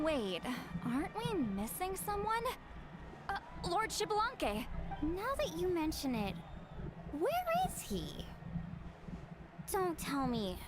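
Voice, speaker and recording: A very high, squeaky little girl fairy from a video game. She is excitable and exclamatory, childlike in every phrase, and takes her time over her words. Loud game music plays behind her. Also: full character voice. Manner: exclamatory, bubbly. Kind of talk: video game character voice acting.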